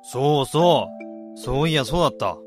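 A man's voice: mid-range voice, lively intonation, normal speed, music in the background, natural voice. A man playing a sociable, teasing senior office worker who leads his group of friends in a radio drama. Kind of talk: Japanese radio drama acting.

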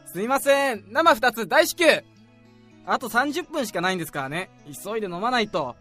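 Man acting a pushy junior salaryman, radio drama, high bright voice, cheeky, hurried, music behind. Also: expressive, exaggerated voice. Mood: eager, hurried. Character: pushy, eager young junior salaryman out drinking.

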